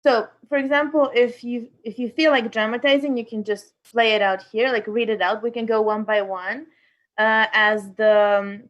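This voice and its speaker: A woman with a fairly high voice leads a workshop. She presents informally and hesitantly, often searching for her next words. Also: steady tone, normal speed, a bit bright, highs cut off. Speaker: workshop host and artist.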